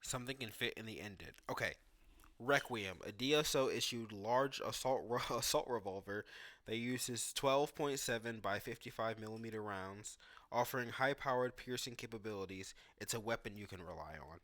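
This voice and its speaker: Bright-voiced man commentating on gameplay. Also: lowish voice, moderate pace. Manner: informal, thinking aloud. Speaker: gaming video host.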